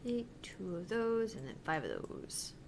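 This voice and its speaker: A woman's voice: on the low side, wildly swooping pitch, unhurried, vocal fry, slight background noise. A woman co-hosting a gaming channel, chatting casually as she plays. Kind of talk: casual gameplay chat.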